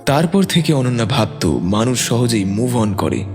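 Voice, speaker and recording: A man's voice: medium pitch, big pitch swings, moderate pace, a little mellow. A man narrating a scripted dramatic story. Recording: long reverb, music bed.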